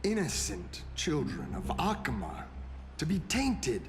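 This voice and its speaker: An actor playing a sinister, courteous assassin leader in a video game, drawling with theatrical, mocking politeness. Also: male voice, a little high, huge pitch swings, a little hiss or hum. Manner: drawling, mock-polite, theatrical, pausing for effect. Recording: long reverb under loud music.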